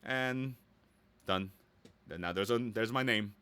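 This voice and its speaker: Bright, low-voiced man doing a casual tutorial. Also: slow, clear, resonant tone, dry recording. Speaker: voice actor giving a website-building tutorial. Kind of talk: casual screen-share tutorial, thinking aloud.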